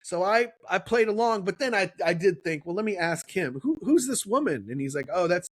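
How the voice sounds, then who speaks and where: A man's voice: sits high, expressive, brisk, no echo. A man, co-hosting a podcast and telling a long, rambling story in casual chat. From Kansas City, USA. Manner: rambling storyteller, acts out quotes. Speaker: podcast co-host.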